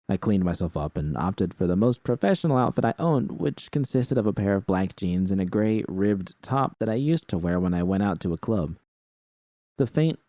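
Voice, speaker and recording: A man's voice: sits low, mellow, dry recording. A man reading a first-person story aloud as scripted narration. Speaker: narrator reading fan fiction.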